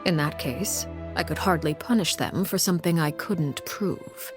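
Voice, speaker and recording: An actress playing a poised, coolly menacing aristocratic diplomat in a video game, speaking in a formal, measured manner. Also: female voice, deep voice, big-room sound. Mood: veiled menace. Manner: formal, measured, veiled threats. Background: music.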